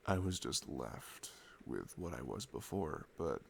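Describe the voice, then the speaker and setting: A man playing an affectionate, reflective boyfriend in an intimate ASMR roleplay, speaking softly and tenderly with hesitant pauses. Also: very deep voice, sing-song, creaky, a little hiss or hum. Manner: soft, hesitant, tender, pausing between phrases.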